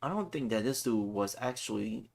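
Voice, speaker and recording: A man's voice: on the low side, animated, moderate pace, creaky, a crowd in the background. A man streaming a video game live with casual, offhand commentary. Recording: game music behind voice. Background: video game music.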